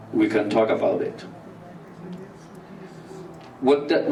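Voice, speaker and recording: A man from Somalia giving a public talk in a slow, halting way, with many fillers and restarts. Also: medium pitch, darkish tone, far from the mic, slight background noise. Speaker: speaker at a community talk. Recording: roomy, off-mic, faint music behind.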